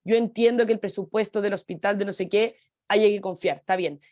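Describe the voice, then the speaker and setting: A young woman on a radio panel, a law student who talks quickly and hesitantly, searching for words. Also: mid-range voice, fairly even intonation, darkish tone, no room sound, studio quality. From Chile.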